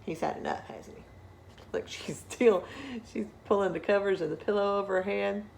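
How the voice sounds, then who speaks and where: A woman's voice: medium pitch, fairly even intonation, moderate pace, gravelly, darkish tone, a little hiss or hum. A woman storyteller reading a picture book expressively to children, engaging listeners with rhetorical questions and asides. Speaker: read-aloud storyteller.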